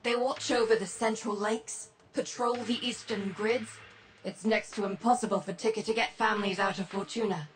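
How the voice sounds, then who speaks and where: A woman's voice: medium pitch, steady tone, normal speed, slight background noise, close to an everyday voice. A woman acting as a terse, resolute resistance squad leader in a video game, giving clipped, authoritative briefings. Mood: grim, businesslike.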